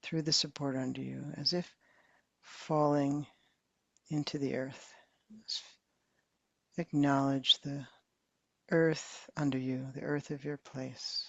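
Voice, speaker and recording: A woman meditation teacher slowly leading a guided meditation in a soft, calm voice with long pauses. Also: rumbling low, creaky, no echo, lo-fi sound.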